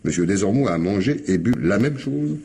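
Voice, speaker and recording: A deep-voiced, probing police commissioner. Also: male voice, expressive, gravelly, mellow, slight background noise. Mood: insistent. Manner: hesitant, repeats words, deliberate questioning. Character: probing male police commissioner, polite but insistent. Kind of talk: French radio mystery drama acting.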